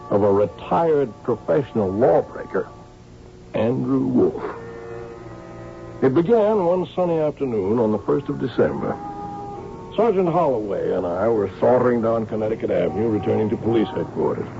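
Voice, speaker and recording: Wry detective inspector, lively old-radio delivery. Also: male voice, mid-range voice, moderate pace, gravelly, soft background music. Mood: reflective narrating. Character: seasoned, shrewd, wry male police inspector narrating his case. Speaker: actor. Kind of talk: radio drama acting with first-person narration. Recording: dull old radio broadcast.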